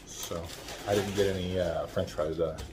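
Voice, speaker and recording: A man in his forties doing a casual food review at home, chatty and relaxed, filmed on a phone at arm's length while eating. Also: sits low, normal speed. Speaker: food vlogger. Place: small room at home, window blinds. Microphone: phone camera at arm's length.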